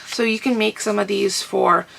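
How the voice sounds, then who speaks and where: A middle-aged woman with a stuffy, congested and husky voice, chatting casually to camera about crafting and clearing her throat often. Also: mid-range voice, steady tone, normal speed, fairly bright tone, a little hiss or hum, low-quality recording. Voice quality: husky, fading voice. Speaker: crafting vlogger answering viewer comments. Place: home craft room desk. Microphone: camera on a tripod nearby.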